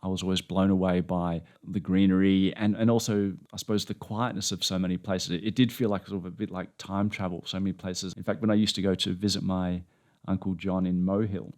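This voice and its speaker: A man's voice: sits low, little pitch movement, fairly quick, a little mellow. A man hosting an interview, chatting casually and rambling through anecdotes. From Australia. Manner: rambling, anecdotal, self-deprecating. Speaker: podcast host.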